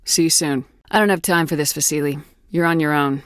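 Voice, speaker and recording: A woman's voice: deep voice, brisk, fairly bright tone, no room sound, studio quality. A woman playing a tough, decisive space soldier in a video game, speaking with firm, clipped authority. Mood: resolute.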